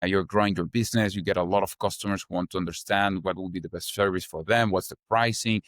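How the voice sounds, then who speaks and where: A young Chilean man with a fairly low voice talks through his story in accented English, loose and chatty, leaning on fillers. The sound is close and dry, with no room around it. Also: fairly even intonation, fast talker, a bit bright, dry recording. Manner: loose, chatty, strings ideas with "like" and "kind of". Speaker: startup founder guest. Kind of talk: casual interview answers.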